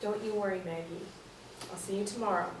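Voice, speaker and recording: A woman's voice: mid-range voice, steady tone, brisk, reverberant, natural voice. Woman playing encouraging kindhearted friend, stage reading, distant mic, faint hiss. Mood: supportive. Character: kind, encouraging female ex-stripper friend.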